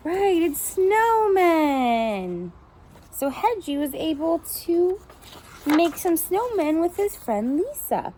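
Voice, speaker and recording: Preschool teacher lady reading a kids story, high voice, slow, chats to the kids as she goes. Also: very animated, warm tone, a little hiss or hum. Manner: pauses to comment and question the children. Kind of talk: animated picture-book storytelling for young children.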